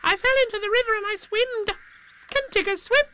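A man voicing an excitable, curious little child kangaroo in a squeaky falsetto for a storybook. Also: brisk, background noise. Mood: eager, impressed. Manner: eager, childlike questioning.

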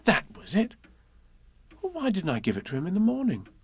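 A man voicing a gentle, wistful young boy in a storybook reading, soft and a little hesitant. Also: high voice, huge pitch swings, moderate pace, breathy edge, no echo, voice pushed up, exaggerated voice.

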